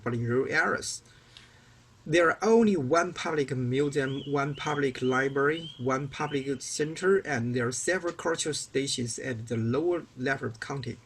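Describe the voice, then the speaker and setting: Man with a Chinese accent, somewhat high voice, formal and careful, reading a prepared presentation. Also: fairly bright tone, slightly rough. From China. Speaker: guest researcher. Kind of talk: prepared presentation read aloud.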